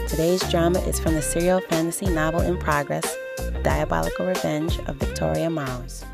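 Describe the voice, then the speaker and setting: A woman presents and narrates in the manner of a radio storyteller. Her voice sits low and has a fair amount of fry in it. The recording is dry, with some music underneath. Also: darkish tone, dry recording. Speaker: radio host and story reader. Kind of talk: scripted radio hosting and dramatic fantasy narration.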